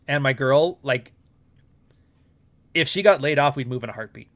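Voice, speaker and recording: A middle-aged Canadian man hosts a live stream in loose, rambling chat. He talks bluntly and swears freely, with a Canadian accent and an ordinary mid-pitched voice. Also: moderate pace, fairly bright tone. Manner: blunt and profane, rambling asides. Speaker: livestream host.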